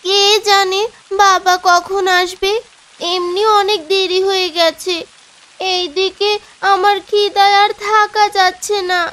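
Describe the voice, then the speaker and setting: A woman's voice: very high voice, flat delivery, slow, fairly bright tone, exaggerated voice. A woman voicing a sweet little village daughter devoted to her father in a cartoon, childlike and plaintive. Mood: hungry, whining. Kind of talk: Bengali fairy-tale cartoon dubbing.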